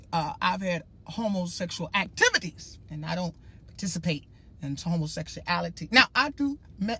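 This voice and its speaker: A man with a naturally high-pitched, bright, ringing voice talks straight to his livestream audience. He preaches in a loose, emphatic way, rambling and circling back as he speaks his mind. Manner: emphatic, rambling, addresses viewers directly. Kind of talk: casual livestream talk.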